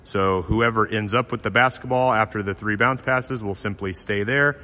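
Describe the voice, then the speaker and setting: A man's voice: fairly low pitch, normal speed, bright, forceful tone, big-room sound. A man who teaches physical education, hosting his channel and explaining a class drill in a friendly, plain way with frequent filler sounds. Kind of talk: instructional voice-over explaining a drill.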